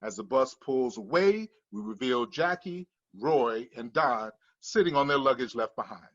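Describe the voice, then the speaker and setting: A man's voice: medium pitch, lively intonation, normal speed, dull audio. A man reading screenplay stage directions as scripted narration.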